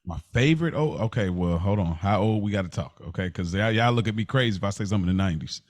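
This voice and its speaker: A man's voice: on the low side, animated, quick pace, dry recording. A young adult man co-hosting a podcast, chatting casually in colloquial slang and often saying "like". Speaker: podcast co-host. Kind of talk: casual chat.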